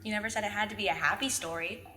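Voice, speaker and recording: A woman in an audio drama playing a plucky, stubborn fifteen-year-old girl who speaks emphatically and earnestly, quick to get defensive. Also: medium pitch, pushed and bright, lightly acted. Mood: defensive, flustered. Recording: long cave reverb, faint noise.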